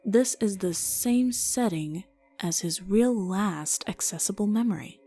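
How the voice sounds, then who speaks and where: A woman voicing a calm, methodical female doctor who speaks in a measured, matter-of-fact way. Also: mid-range voice, breathy edge, soft background music. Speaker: voice actor in a fan game dub.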